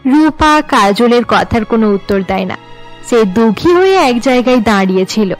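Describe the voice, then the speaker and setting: Female storyteller for cartoon, high register, scripted narration, music underneath. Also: expressive, moderate pace.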